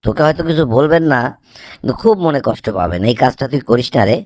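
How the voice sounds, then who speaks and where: A man's voice: medium pitch, lively intonation, fast talker, darkish tone, dry recording, lightly acted. A man playing a sensible young student friend in an amateur cartoon, earnestly admonishing. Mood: earnest advice.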